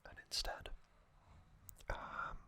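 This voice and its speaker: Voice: drawn-out words, sharp tone. The kind of voice on a late-night ASMR channel for falling asleep, whispering a story unhurriedly with little hesitant asides. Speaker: ASMR host.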